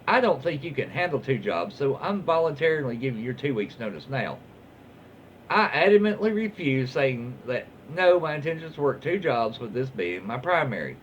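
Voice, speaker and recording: Animated Southern American man reading stories aloud. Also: a little high. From southern United States. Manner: folksy, informal, stumbles over words while reading. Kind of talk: casual read-aloud with off-the-cuff commentary. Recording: muffled lo-fi audio, faint background noise.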